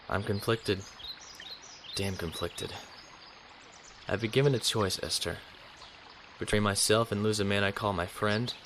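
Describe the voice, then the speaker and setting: A man's voice: on the low side, background noise, close to an everyday voice. A man playing a conflicted, honorable young naval officer in a sci-fi audio drama, earnest and brooding.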